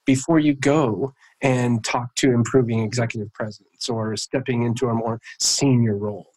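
A man's voice: medium pitch, fairly even intonation, normal speed, a little mellow, poor audio. A man hosting an interview podcast, casually thinking aloud as he builds his questions.

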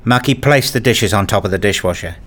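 Low-voiced man narrating a personal story. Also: brisk, fairly bright tone. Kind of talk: first-person scripted story narration with acted dialogue.

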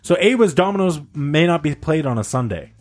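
A man hosting a casual quiz game with friends, laughing often and talking in an animated, playful way. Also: fairly high pitch, fairly quick, faint music behind. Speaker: quiz host. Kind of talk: casual podcast banter.

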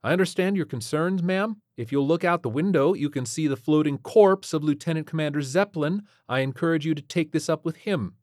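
A weary female spaceship officer, read by a man, lifted slightly high. She is sardonic, firm and deadpan, a curt storybook heroine recorded dry and close. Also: a little fast, few pauses, dry recording, natural voice.

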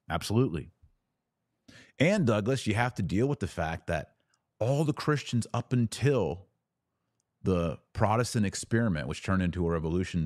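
Low-voiced man teaching with emphasis. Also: a little mellow, dry recording, clean studio sound. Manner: emphatic, didactic, with deliberate pauses on key words. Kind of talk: live theological teaching and viewer Q&A.

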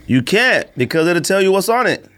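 A man's voice: high voice, very animated, normal speed, fairly bright tone. A man hosting a podcast in casual banter, with a teasing, opinionated manner. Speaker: podcast host.

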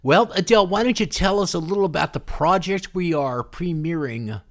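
Animated man hosting a music show. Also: sits high, normal speed. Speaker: radio show host. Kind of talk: casual music show presenting.

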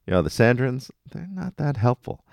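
A man's voice: mid-range voice, big pitch swings, moderate pace, vocal fry, a little mellow, no echo. A man hosting a podcast, giving a casual episode recap with wry, dry asides. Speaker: podcast host.

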